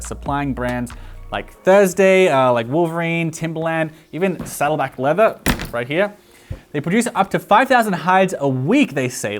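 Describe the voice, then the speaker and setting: A chatty Australian man in his thirties hosts a relaxed explainer, racing through his points with plenty of "like" and "um". He is recorded close on a lapel mic in a bright studio. Light background music sits under his voice. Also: medium pitch. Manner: chatty, fast, lots of "like" fillers. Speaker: boot reviewer host. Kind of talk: casual explainer. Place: bright indoor studio at a table.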